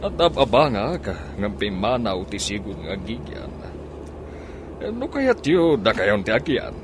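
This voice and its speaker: A man's voice: fairly low pitch, very animated, measured pace, background noise. A man with an Ilocano accent playing a courteous, generous older businessman in a radio comedy drama, speaking politely and a little hesitantly. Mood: obliging. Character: courteous, generous older businessman befriending two college girls.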